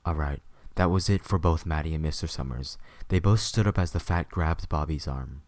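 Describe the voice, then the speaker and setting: Male narrator, deep pitch, dry close recording, scripted audio drama storytelling. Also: moderate pace, darkish tone, no room sound.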